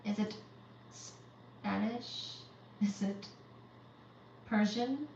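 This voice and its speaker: Woman in her 30s chatting on a livestream, slow unhurried talk, far from the mic in an echoey room, dull sound. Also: mid-range voice, creaky, a little mellow, slight background noise. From Central Asia. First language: Uzbek. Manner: reads viewer comments aloud and greets them one by one. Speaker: livestream host. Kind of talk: live-stream chat. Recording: dull, roomy, distant from the mic.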